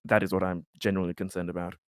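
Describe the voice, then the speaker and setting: Man playing halting, cautious colony councilman, low voice. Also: level tone, no room sound, clean studio sound, natural voice. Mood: measured, apprehensive. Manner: hesitant, halting, deliberative delivery. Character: cautious, budget-minded male colony council member. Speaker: player in a tabletop roleplaying game. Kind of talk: improvised in-character tabletop roleplay.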